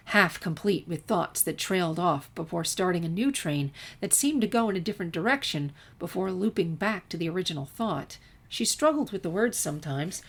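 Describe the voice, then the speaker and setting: A woman narrating a written story as a fan fiction reader. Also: on the low side.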